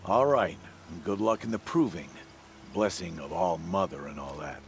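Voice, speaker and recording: Low-voiced, gruff outlaw trader. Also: male voice, expressive, moderate pace, faint music behind. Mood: dry. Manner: laconic, guarded, dry. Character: gruff, wary outlaw trader, once shunned tribesman. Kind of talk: video game dialogue acting.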